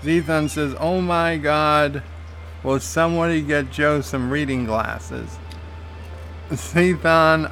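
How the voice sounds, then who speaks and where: A man's voice: mid-range voice, fairly bright tone. A man streaming a game, reading viewer comments aloud and answering them casually, with lots of "uh" fillers.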